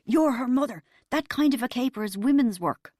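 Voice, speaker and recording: A woman's voice: expressive, a little slow, dry recording, studio quality, lightly acted. A woman voicing a jokey, evasive, easily flustered middle-aged father in an audiobook. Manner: folksy, evasive, squeaky when flustered. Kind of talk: audiobook character dialogue.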